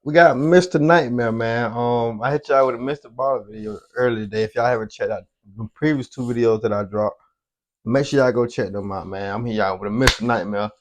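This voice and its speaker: A man's voice: medium pitch, brisk. A man hosting a reaction video, talking in a loose, slangy, chatty way. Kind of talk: casual reaction commentary.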